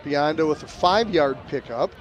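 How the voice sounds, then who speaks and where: A man doing play-by-play on a high school football broadcast, mixing live calls with casual, jovial banter. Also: normal speed. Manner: jovial, jokey, excitable on big plays. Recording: clear outdoor stadium ambience noise. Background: outdoor game ambience.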